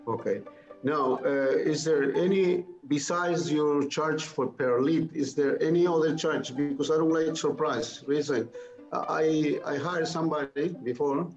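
A middle-aged or older man talking cautiously and haltingly on a business call as a prospective client. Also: on the high side, steady tone, darkish tone. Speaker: small accounting business owner, prospective client. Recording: echoey, dull lo-fi call audio with music. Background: music.

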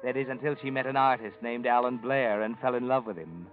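A man's voice: medium pitch, fairly quick, soft background music, close to an everyday voice. Male actor as a shadowy 1940s radio narrator, solemn and ominous, tinny broadcast sound. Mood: knowing. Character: mysterious brooding male night-walking narrator.

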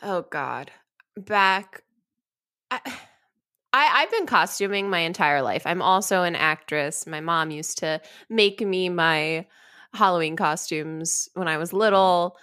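A woman in her twenties speaks as a podcast guest in a casual, conversational style. Her voice is a little on the low side for a woman, and the sound is dry, with very little room. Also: slow, bright, forceful tone, no echo. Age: 20s. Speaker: podcast guest, cosplayer and actress. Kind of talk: casual chat.

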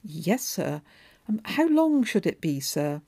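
A woman's voice: lowish voice, sing-song, slow pace, vocal fry, warm tone, breathy edge, full character voice. A woman voicing a nervous, humble weaver who speaks hesitantly. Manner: timid, hesitant.

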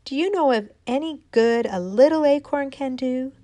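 A woman's voice: high-pitched, normal speed, mellow, dry recording, voice pushed up, lightly acted. A woman voicing a small, timid and hopeful little acorn girl in a storybook tale, with an eager, pleading manner.

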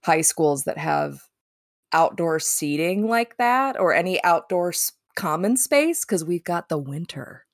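A woman's voice: fairly low pitch, lively intonation, unhurried, no echo, studio quality. A woman from the Midwest hosting a podcast, chatty and teasing, laughing often. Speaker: podcast host. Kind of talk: casual chat.

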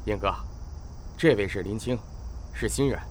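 A man's voice: on the low side, normal speed, slight background noise, natural voice. A man playing a deferential, ingratiating talent agent in a Chinese audio drama, speaking with fawning politeness.

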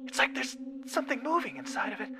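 Man voicing a whispery, high-pitched young woman thief. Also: lively intonation, quick pace, heavily acted. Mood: awed whisper. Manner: breathy whispering, slangy. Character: frightened young woman thief, profane, whispering over phone.

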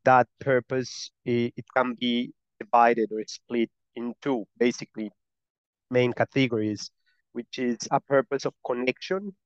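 A man's voice: medium pitch, clean tone, dry recording, lo-fi sound. A man with a strong Spanish accent and Spanish as his first language, explaining earnestly as an interview guest, often repeating words as he goes. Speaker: guest, breathwork and somatic practitioner.

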